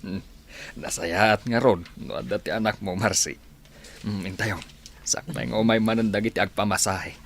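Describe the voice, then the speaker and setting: A man's voice: on the low side, moderate pace, creaky, a bit bright. A man with a Filipino accent playing an easygoing male friend in an audio drama. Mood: warm, reflective. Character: Tindo, easygoing male friend of an older groom.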